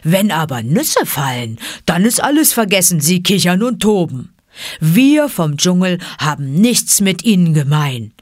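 A woman's voice: fairly low pitch, very animated, a little slow, dry recording, studio quality. A woman voicing an old male bear who teaches the jungle laws, stern, emphatic and lecturing. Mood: stern lecture.

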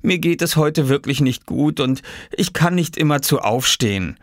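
Man voicing a weary mother, raised pitch. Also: animated, normal speed, no room sound, studio quality, lightly acted. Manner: weary, pleading. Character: exhausted, unwell young mother pleading for quiet. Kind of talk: children's storybook character voice.

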